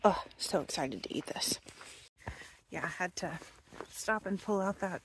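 A woman's voice: lowish voice, unhurried, background noise. Young woman vlogging on a forest trail. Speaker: thru-hiker vlogger. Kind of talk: casual vlog. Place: outdoors on a wooded mountain trail. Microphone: phone held at arm's length.

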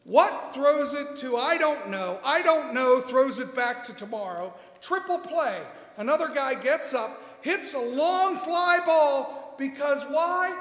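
Comedy stooge, played by a man: very high, swooping voice, baffled and indignant, echoing hall. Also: expressive, slow pace, few pauses, exaggerated voice. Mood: exasperated. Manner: loud, indignant, repetitive. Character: exasperated, confused ballplayer in a classic comedy routine. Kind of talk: old-time radio comedy stage act. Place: stage in a hall. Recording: echoey hall with long reverb.

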